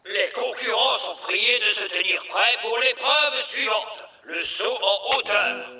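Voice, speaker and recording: A man's voice: high-pitched, full character voice. A man playing a formal elf sports announcer in a cartoon, calling out ceremoniously from a distance. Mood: official. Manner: loud, measured, ceremonious announcing. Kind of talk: animated cartoon dub. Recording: echoey, distant announcer with music.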